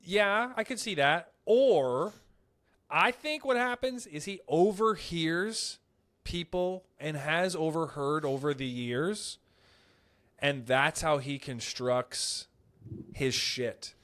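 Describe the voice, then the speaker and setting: Profane rambling young man, slightly high. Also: slow, a bit bright. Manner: rambling, profane, storytelling with quoted voices. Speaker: podcast co-host. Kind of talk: casual crude banter.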